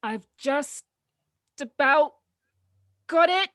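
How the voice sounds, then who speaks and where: Woman acting sarcastic teenage daughter, lively swooping delivery. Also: high voice, very slow, no room sound, full character voice. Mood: eager, straining. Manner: dry sarcasm, teasing. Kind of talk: table read of an audio drama script.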